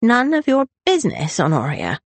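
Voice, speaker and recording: A woman's voice: mid-range voice, sing-song, slow pace, a little mellow, slightly rough, no room sound, voice pushed up, full character voice. A woman voicing a sulky, drunken young aristocratic brother in an audiobook, taunting with a slurred drawl. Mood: bitter. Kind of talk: dramatised audiobook character voice.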